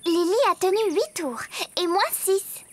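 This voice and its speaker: A woman voicing a cheerful little girl friend in a children's cartoon, lively and full of exclamations. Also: extremely high pitch, measured pace, very noisy. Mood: friendly. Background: children's cartoon music.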